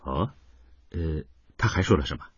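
A man playing a subdued, hesitant middle-aged father in a radio drama, talking in a low-key way. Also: lively intonation, normal speed, slightly rough, no echo, highs cut off, exaggerated voice.

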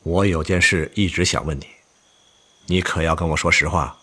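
An actor playing a brotherly but stern army officer in a Chinese radio drama, with emphatic, expressive delivery. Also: male voice, deep voice, normal speed, breathy edge, heavily acted. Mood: grave, confiding. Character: hearty older army officer, brotherly yet stern male friend.

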